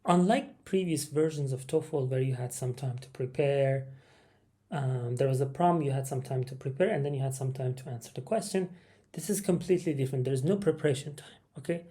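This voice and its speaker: A man with a Persian accent gives an online test-prep lesson in English, his second language. His voice is mellow and dark, at a middle pitch. He explains step by step, with plenty of fillers and restarts along the way.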